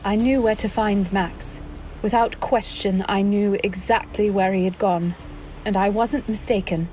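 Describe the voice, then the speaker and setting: A woman's voice: medium pitch, fairly even intonation, measured pace, over music, lightly acted, low-quality recording. A woman playing an anxious, devoted wife who narrates her story in dramatic old-time radio style. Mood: somber. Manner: dramatic, earnest delivery. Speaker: narrator and actor.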